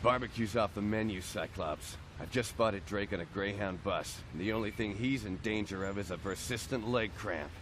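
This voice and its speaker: An actor playing a witty, brainy large mutant with an articulate, joking manner. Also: male voice, on the low side, moderate pace, few pauses, background noise, lightly acted. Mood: wry. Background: loud music score.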